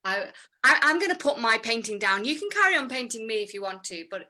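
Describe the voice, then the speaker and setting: A woman's voice: on the high side, sing-song, quick pace, pushed and bright. A woman hosting an improv scene, chatty and giggly with lots of fillers. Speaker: improv performer and host. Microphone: video call.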